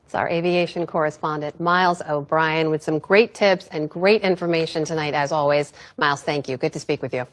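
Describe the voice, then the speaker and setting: A woman's voice: deep voice, fairly even intonation, a little fast, few pauses. A woman anchoring broadcast news, putting questions to a guest in a polished, articulate way.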